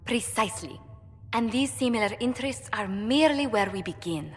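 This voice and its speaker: Poised diplomat in a reverberant hall. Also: female voice, a little high, lively intonation, soft background music. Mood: poised, explaining. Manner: formal, measured, diplomatic. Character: poised, courteous young female ambassador and diplomat. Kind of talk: video game dialogue acting. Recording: noisy, long hall reverb.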